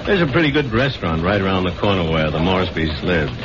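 An actor playing a skeptical, unflappable newsroom chief with a wry, blunt manner, in 1970s radio drama style. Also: male voice, deep voice, sing-song, fast, crowd noise. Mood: dry skepticism. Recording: dull, noisy old radio broadcast.

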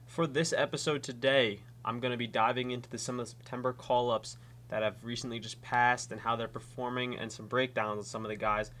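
A man's voice: medium pitch, fairly even intonation, a little fast, fairly bright tone. A young man hosting a solo sports podcast, stuffy from a cold, talking casually with frequent restarts. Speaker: podcast host. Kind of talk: solo fantasy baseball podcast.

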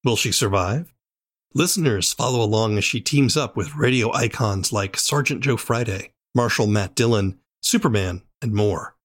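A man's voice: lowish voice, moderate pace. A man hosting a podcast, reading scripted introductions in a narrator's style. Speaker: podcast host.

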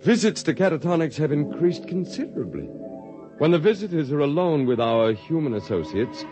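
An actor playing a calm otherworldly male alien who runs a hospital, speaking in a measured, politely condescending way in a 1950s radio drama. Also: fairly high pitch. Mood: calm, assured. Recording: dull 1950s radio broadcast with music.

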